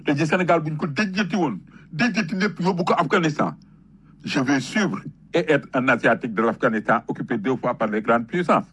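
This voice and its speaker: A man's voice: on the high side, expressive, brisk, a bit bright, a little hiss or hum. A man with a Senegalese accent giving an impassioned patriotic talk, emphatic and rhetorical, telling stories with repetition. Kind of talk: impassioned patriotic public talk, switching between French and Wolof.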